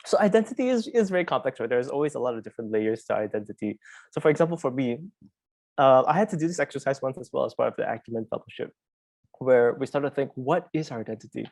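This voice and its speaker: A man's voice: mid-range voice, animated, fast talker, gravelly, darkish tone, dry recording. A man with a Malaysian accent giving an informal, conversational webinar presentation, prompting his listeners as he speaks.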